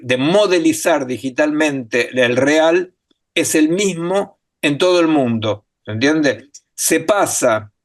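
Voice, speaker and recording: Man lecturing with many tag questions. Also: on the high side. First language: not Spanish. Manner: thinking aloud, many fillers and tag questions. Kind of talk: academic talk.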